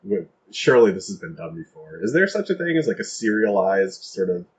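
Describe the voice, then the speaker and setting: A man's voice: on the low side, very animated, a little fast. A man chatting casually as an interview guest, talking in a rambling, self-deprecating way. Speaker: guest playwright and author. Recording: dull lo-fi recording with faint noise.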